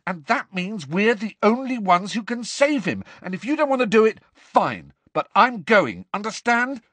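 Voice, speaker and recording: A man voicing a hot-tempered teenage boy in an audiobook, rough, urgent and defiant. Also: sits high, big pitch swings, normal speed, fairly bright tone, no room sound, pitched above the performer's own voice, heavily acted. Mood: furious. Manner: rough, aggressive, emphatic delivery. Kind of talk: audiobook character voice.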